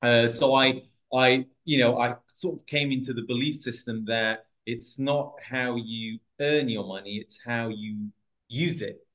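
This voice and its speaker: Man, 30s, from Portugal, podcast guest, casual, hesitant, restarts, middle pitch. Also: a little slow, fairly bright tone, low-quality recording. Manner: hesitant, many restarts and fillers. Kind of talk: casual interview storytelling.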